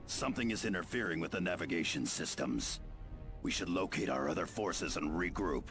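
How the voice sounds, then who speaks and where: An actor playing a stern, gruff veteran space soldier in a video game, terse and commanding. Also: male voice, deep voice, lively intonation, fairly quick. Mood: businesslike, wary. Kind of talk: scripted video game voice acting. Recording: loud music under the voice.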